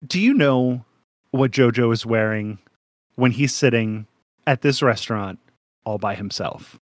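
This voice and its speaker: A man's voice: medium pitch, measured pace, no room sound, clean studio sound. Jokey, rambling male podcast host. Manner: jokey, rambling, laughs often. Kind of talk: casual podcast chat.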